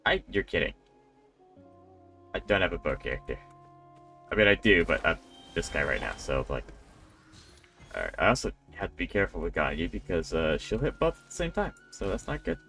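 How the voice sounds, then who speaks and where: A man with a low, creaky voice gives casual gameplay commentary on a stream. His pitch moves around in a lively way as he thinks out loud. Game music plays faintly behind him. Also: fairly quick, pauses often. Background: faint video game music.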